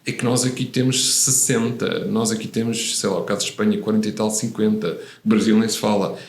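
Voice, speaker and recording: A man in his fifties who grew up in Italy speaks as a podcast guest, low and gravelly, pausing and restarting as he thinks. The room around him rings with noticeable echo. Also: fairly even intonation. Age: 50s. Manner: thoughtful, many hesitations and restarts. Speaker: guest, real estate professional. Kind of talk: relaxed interview conversation.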